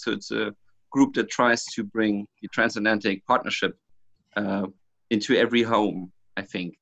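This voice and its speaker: A man's voice: medium pitch, a bit bright. Hesitant male podcast host, dull audio. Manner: hesitant, many filler sounds, friendly introductions. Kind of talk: casual discussion.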